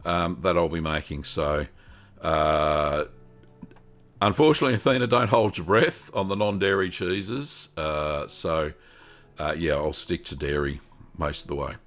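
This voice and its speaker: A man's voice: very deep voice, fairly even intonation, unhurried, fairly bright tone. An older man hosting a live-stream Q&A, talking in a rambling, friendly way with lots of ums. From Australia. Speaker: host answering cheese-making questions.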